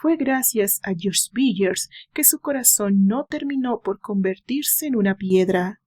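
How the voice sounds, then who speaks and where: A woman's voice: medium pitch, lively intonation, a little slow, darkish tone. A woman reading scripted, dramatic story narration. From Mexico.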